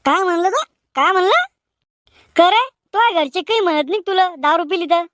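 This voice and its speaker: An extremely high-pitched young village man from a cartoon comedy , acted by a woman. He banters colloquially in a rural Maharashtrian Marathi accent. The sound is dry and close. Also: lively intonation, brisk, fairly bright tone, no echo. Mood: argumentative.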